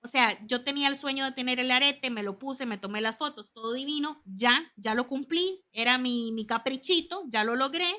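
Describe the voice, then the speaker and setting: Costa Rican woman, 30s, interview guest; high and bright, chatty and animated. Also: moderate pace, hardly any pauses. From Costa Rica. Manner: animated, chatty storytelling with lots of asides. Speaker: guest, communications consultant.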